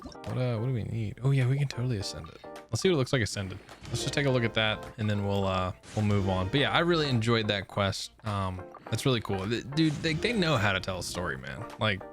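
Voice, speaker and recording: A man giving casual, slangy gameplay commentary in an informal, rambling, enthusiastic manner. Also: fairly low pitch. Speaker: gaming content creator.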